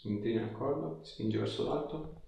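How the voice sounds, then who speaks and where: A man's voice: medium pitch, moderate pace, creaky, warm tone. A man, a chiropractor giving short, repetitive cues to a patient during a session. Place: small tiled clinic treatment room, indoors. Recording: very echoey room, faint noise, clicks.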